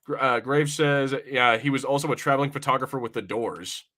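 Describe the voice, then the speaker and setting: A man's voice: medium pitch, a little fast, bright, forceful tone. A young adult man in casual podcast banter, brash and teasing, swearing freely as he talks. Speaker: podcast co-host. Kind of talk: casual watch-party banter.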